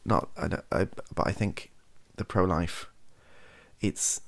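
A young man with a husky, gravelly voice, rambling softly and wryly to camera, often hesitating and correcting himself. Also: deep voice, slow. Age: young adult. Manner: hesitant, self-correcting, wry. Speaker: ASMR comedy video creator. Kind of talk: improvised soft-spoken rambling to camera. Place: small home bedroom office.

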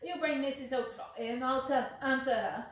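A woman voicing a gruff, hot-tempered male market salesman who shouts and blusters, recorded in a roomy space from a distance with faint hiss. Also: medium pitch, steady tone, slow, natural voice. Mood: angry.

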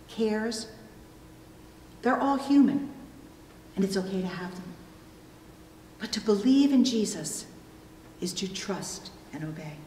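A middle-aged woman pastor preaching a sermon, phrasing deliberately and pausing for emphasis. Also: medium pitch, darkish tone, a little hiss or hum. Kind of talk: sermon with illustrative stories. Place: church pulpit indoors, recorded from a distance. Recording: echoey hall, long reverb.

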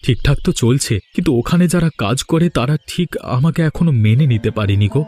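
Man playing a humble village husband, medium-pitched. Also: lively intonation, fast, dark tone, soft background music, natural voice. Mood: weary, reporting. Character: humble, hardworking poor village husband, placating. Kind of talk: cartoon dialogue.

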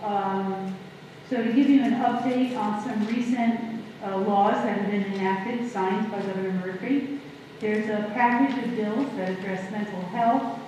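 A woman board member giving committee reports at a public meeting, steady with some fillers. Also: mid-range voice, measured pace, a little hiss or hum. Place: large public meeting room. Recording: echoey hall from far off.

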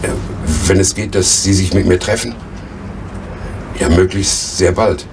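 An actor playing a hesitant, apologetic man on a phone call in a 1970s radio play, speaking haltingly. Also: deep voice, fairly even intonation, a little mellow. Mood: uneasy, apologetic. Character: hesitant, apologetic man phoning a doctor for help. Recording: noisy old radio play recording.

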